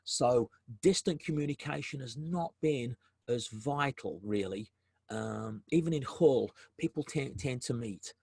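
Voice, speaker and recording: A man's voice: mid-range voice, unhurried, gravelly, a little mellow, husky, dry recording. A man with a South African accent presenting a business webinar over a video call, often repeating words and restarting phrases as he goes.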